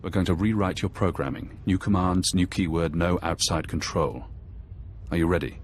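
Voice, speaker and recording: An actor playing a cold, urgent mentor in a video game, giving clipped commands. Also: male voice, low-pitched, steady tone, moderate pace, a little hiss or hum, natural voice. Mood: cold, commanding. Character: cold, urgent male mentor reprogramming an agent's mind.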